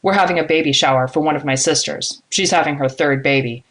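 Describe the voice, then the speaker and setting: Woman voicing a low, friendly, disarming young man. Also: quick pace. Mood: friendly, slightly nervous. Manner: calm, reassuring, casual. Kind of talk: audiobook character dialogue.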